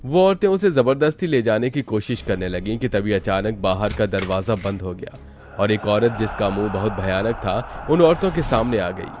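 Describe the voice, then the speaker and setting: A man's voice: on the low side, expressive, moderate pace, background noise. A man narrating a scripted horror story for a cartoon.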